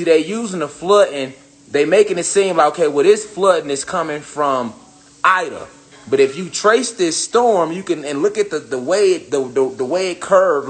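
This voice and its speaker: A man's voice: fairly high pitch, a bit bright. Profane, preachy man ranting to camera. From United States. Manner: profane, preachy, emphatic repetition. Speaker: spiritual commentator. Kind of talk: casual rant to camera.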